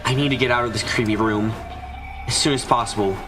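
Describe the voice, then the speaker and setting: A man's voice: medium pitch, steady tone, fast talker, over music, close to an everyday voice. A man voicing an anxious, frightened narrator trapped and desperate, in a first-person visual novel fan dub. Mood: uneasy, brooding. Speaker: voice actor narrating.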